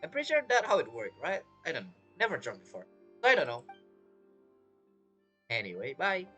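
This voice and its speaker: A man's voice: a little high, huge pitch swings, brisk, sharp tone, husky, soft background music. A man giving casual, rambling gameplay commentary as a streamer, reacting jokily. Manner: joking, rambling reactions to the game. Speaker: gaming streamer.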